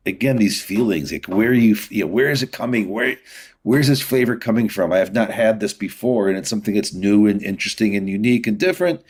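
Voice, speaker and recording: Man, middle-aged, lowish pitch, casual interview guest, easygoing, rambling explanations. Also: darkish tone. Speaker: podcast guest, whiskey distiller.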